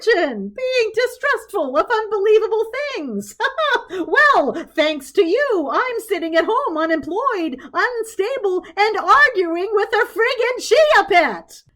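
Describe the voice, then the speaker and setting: A woman's voice: extremely high pitch, very animated, a little slow, fairly bright tone, pitched above the performer's own voice, full character voice. A woman acting an exasperated, incredulous young woman in a story, agitated and slangy. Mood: indignant, sarcastic. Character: exasperated, incredulous young woman, freshly unemployed fact checker. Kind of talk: dramatized storytelling.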